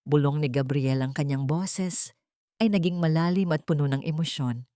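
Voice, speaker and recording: A woman with a Filipino accent narrating a scripted dramatic story in a measured, sentimental way. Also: sits low, dark tone, no echo, clean studio sound.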